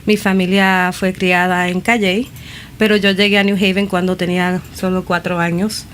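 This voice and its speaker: A woman from Puerto Rico, now a police officer, answers interview questions. She speaks haltingly, repeating words and slipping in English terms. Faint background hiss. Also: lowish voice, level tone, a bit bright.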